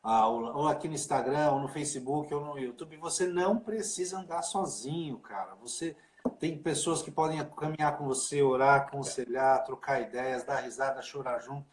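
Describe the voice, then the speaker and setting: A man's voice: medium pitch, normal speed, slight background noise. A man, a pastor hosting a casual livestream chat over a video call, informal and talkative. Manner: chatty, informal, full of fillers like né and cara. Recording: echoey room.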